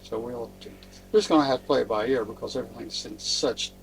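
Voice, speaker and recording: An American man chats informally as a guest in a conversation. His mid-pitched voice is gravelly and creaky, over a faint hum. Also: fast, poor audio. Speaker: guest, sailor. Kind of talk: casual chat.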